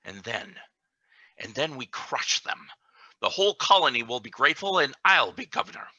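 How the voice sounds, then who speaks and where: A man playing an ambitious, calculating deputy governor, emphatic and deliberate, in a radio play reading. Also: medium pitch, sing-song, sharp tone, no echo, muffled recording, full character voice. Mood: scheming, commanding.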